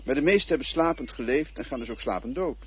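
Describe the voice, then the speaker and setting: A man playing a calm, cryptic male guide who speaks of the dead in a patient, lecturing way, in a radio play. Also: mid-range voice, fast, slightly rough. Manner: patient, lecturing, quietly mocking. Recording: dull lo-fi old radio recording.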